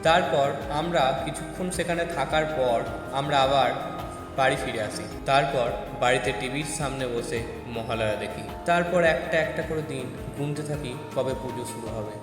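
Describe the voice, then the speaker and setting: A man reads a scripted first-person story as its narrator. His voice is medium in pitch and rings with echo and long reverb. Faint music runs underneath. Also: normal speed.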